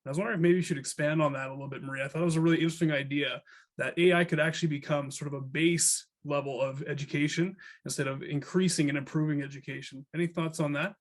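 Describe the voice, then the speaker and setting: A man's voice: on the high side, fairly even intonation, fast talker. A man, a teacher, joining an online panel in a friendly, modest way. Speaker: teacher, participant.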